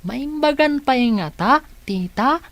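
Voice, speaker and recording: A woman's voice: sits high, expressive, takes it slowly, exaggerated voice. A woman with an Ilocano accent playing a helpful, curious young niece in an audio drama. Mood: eager, curious. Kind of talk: radio-style drama dialogue.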